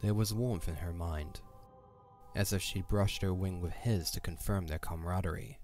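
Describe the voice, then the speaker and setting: A man with a low voice narrates a fantasy audio drama. His delivery is scripted and dramatic, with faint music playing underneath. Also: fairly even intonation, moderate pace, a little mellow. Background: background music.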